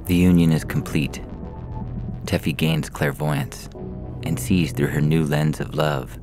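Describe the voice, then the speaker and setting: A man's voice: extremely low pitch, big pitch swings, a little slow, gravelly, over music. A man reading a science fiction story aloud as a scripted narration.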